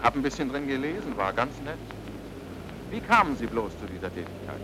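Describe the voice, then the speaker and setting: Guy playing a pushy sardonic army cop in a radio drama, clipped orders, really animated delivery. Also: medium pitch, brisk, background noise, natural voice. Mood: dry, persuasive. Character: brusque, pressing male military police officer, dryly sardonic.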